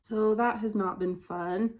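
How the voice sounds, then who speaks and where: A woman's voice: mid-range voice, fairly even intonation, unhurried, a little mellow. A woman from Utah vlogging a casual get-ready-with-me, chatty and candid, her voice congested and stuffy from a head cold. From Utah, USA. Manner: chatty and candid, many "like" fillers. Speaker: beauty vlogger.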